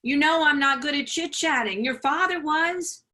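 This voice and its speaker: A woman's voice: sits high, normal speed, sharp tone. A woman playing a placating, self-absorbed mother with a career in law, in a staged reading of a play.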